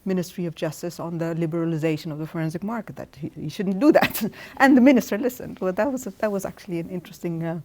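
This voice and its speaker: Middle-aged woman academic from tunisia, talks it through slowly, lots of restarts. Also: lowish voice, dark tone. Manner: thoughtful, hesitant with restarts. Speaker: guest professor. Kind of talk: academic interview answers.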